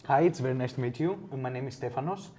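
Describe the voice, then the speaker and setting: A man's voice: mid-range voice, fast talker, a little hiss or hum. Friendly young man. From Greece. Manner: friendly, relaxed. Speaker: graduate student, test candidate. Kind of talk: exam conversation.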